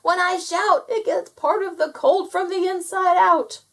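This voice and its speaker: A woman voicing a cold, weary bearded male gnome who shouts and exclaims in a children's story. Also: sits high, animated, moderate pace, voice pushed up. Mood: loud, shivering.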